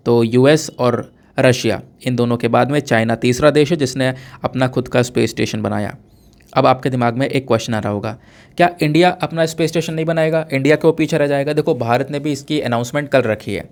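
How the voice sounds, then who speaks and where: A man from India with a sore throat, lecturing conversationally on current affairs as an exam-prep teacher, explaining through questions and mixing in English terms. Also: medium pitch. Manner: explanatory, poses questions and answers them, code-mixes English terms.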